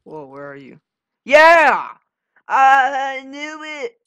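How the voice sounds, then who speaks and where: A young man chatting casually and jokingly while playing a video game, with an animated manner. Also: very high-pitched, drawn-out words, no echo. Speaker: gaming video host and player. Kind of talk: casual gaming chat.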